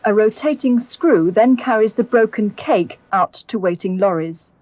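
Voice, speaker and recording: A British woman reading scripted narration for an educational film, explaining in a clear, measured way. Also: mid-range voice, expressive, no echo, highs cut off. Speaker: documentary narrator. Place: close, dry voice-over recording.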